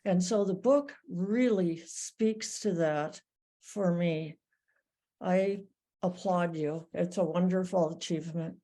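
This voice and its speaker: A woman in an audience giving reflective feedback in a measured, earnest way. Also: fairly low pitch, mellow. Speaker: audience member.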